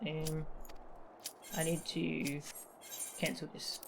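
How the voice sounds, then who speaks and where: A woman's voice: deep voice, monotone, drawn-out words, gravelly, slight background noise. A woman game streamer giving casual live commentary as she plays.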